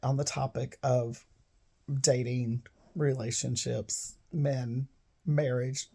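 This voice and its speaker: A woman in her fifties talks to camera from home, her voice froggy and hoarse from a cold. Her tone is dark and mellow, with a rough gravel underneath. She rambles earnestly and keeps drifting into side stories. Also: rumbling low, fairly even intonation, takes it slowly. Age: 50s. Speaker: vlogger. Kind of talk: casual storytelling to camera.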